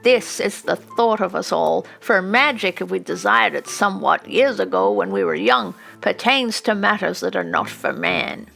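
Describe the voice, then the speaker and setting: A woman's voice: medium pitch, sing-song, moderate pace, vocal fry, hardly any pauses, fairly bright tone, soft background music, exaggerated voice. A woman voicing an anxious aging village man who pleads in a formal, suave storybook manner. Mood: solemn.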